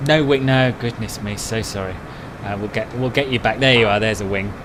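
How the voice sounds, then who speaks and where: Man, relaxed conversational live-stream talk, answering chat, steady background noise. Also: fairly low pitch, a little fast. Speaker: flight sim streamer.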